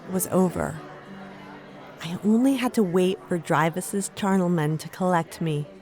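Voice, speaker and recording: A woman playing a resilient young woman who recounts her ordeal in a fantasy audio drama. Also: lowish voice. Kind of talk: first-person storytelling in an audio drama.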